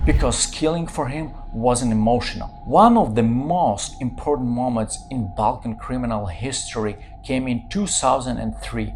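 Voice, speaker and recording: A man from Ukraine, hosting his channel and telling true-crime stories dramatically in English. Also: mid-range voice. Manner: dramatic storytelling in non-native English. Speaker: channel host.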